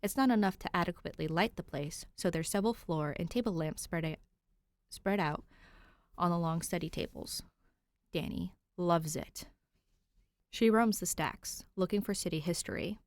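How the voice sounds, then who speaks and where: A woman's voice: fairly low pitch, moderate pace, no room sound. A woman hosting and narrating a fan fiction reading, close to the microphone. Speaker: host and reader of a fan fiction podcast. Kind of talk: audiobook-style story narration with chatty host intro.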